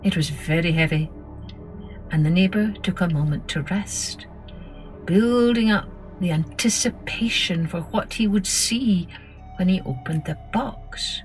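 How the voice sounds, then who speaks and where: Female narrator for kids' story podcast, lowish register, measured expressive read, music underneath. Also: rough recording. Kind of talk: scripted children's story narration.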